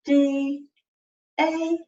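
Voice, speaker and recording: A woman giving a one-to-one lesson, coaxing and prompting, repeating words deliberately and spelling out letters one by one on a video call. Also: high-pitched, level tone, a little mellow. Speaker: communication support partner helping a learner spell out words. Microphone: video call, away from the microphone. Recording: echoey room, muffled distant mic, faint noise.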